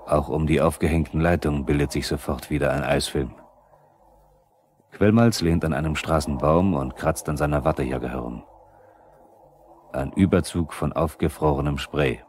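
A probing male narrator in a 1970s East German radio drama. His voice is extremely deep, and he speaks straight to the characters. The old record adds light noise. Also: normal speed, long pauses, a little mellow. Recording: noisy 1970s vinyl radio play.

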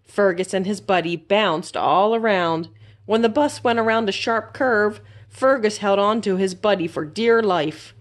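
A woman reading a children's story aloud as a library storyteller, in an expressive, simple storytelling manner. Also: mid-range voice, measured pace.

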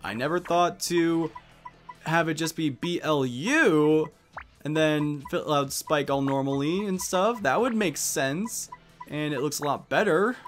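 A young man giving casual, animated commentary as the host of a video game let's play. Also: fairly high pitch, unhurried, faint music behind.

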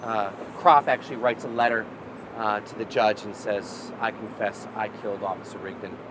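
A lower-pitched man tells history as a tour guide, talking casually with fillers and restarts. He is recorded outdoors on a city street. Traffic noise is clearly heard behind him. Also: moderate pace. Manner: storytelling, animated with many fillers and restarts. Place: outdoors on a city street beside a prison wall.

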